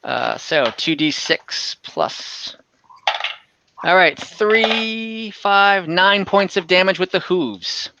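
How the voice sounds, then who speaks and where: A game master narrating a tabletop role-playing game informally, thinking out loud while tallying dice over an online call. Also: medium pitch, very slow, gravelly, highs cut off, noisy background. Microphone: online group call.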